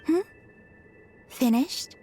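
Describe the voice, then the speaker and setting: An actress playing a serene, quietly curious young moon maiden in a video game, with a soft, calm, unhurried delivery. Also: female voice. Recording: music behind the voice.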